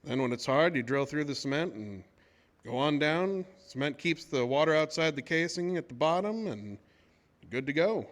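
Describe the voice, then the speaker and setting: An actor playing a folksy, easygoing oil driller in a horror audio drama, talking in colloquial rural speech. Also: male voice, on the high side, animated, normal speed, close to an everyday voice. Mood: reflective, folksy. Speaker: narrator and lead character. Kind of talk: first-person horror audio drama storytelling. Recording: noisy track with ambient sound.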